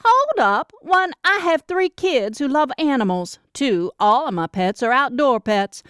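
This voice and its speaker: Woman acting a bossy, high-pitched younger sister. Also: big pitch swings, unhurried, a bit bright, smooth, no room sound, clean studio sound, exaggerated voice. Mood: defensive. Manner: emphatic, argumentative, teasing. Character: bossy, teasing younger sister, busy mom. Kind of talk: audiobook character dialogue.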